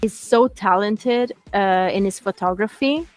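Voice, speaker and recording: A woman from Italy, a native Italian speaker with an Italian accent, answering interview questions earnestly with frequent pauses and fillers. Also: medium pitch, soft background music. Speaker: show co-host.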